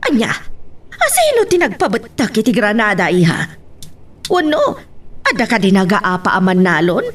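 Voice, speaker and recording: A woman plays a suspicious mother in a radio drama. Her Filipino-accented voice is husky and swings widely in pitch. She sounds a little back from the mic. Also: wildly swooping pitch, moderate pace, far from the mic. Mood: questioning, concerned. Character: suspicious, questioning mother and wife. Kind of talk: radio drama acting in Ilocano.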